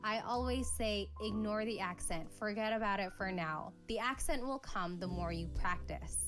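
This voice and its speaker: A woman language tutor giving a scripted lesson, encouraging and clear in her instructions. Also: mid-range voice, normal speed, a bit bright, faint music behind.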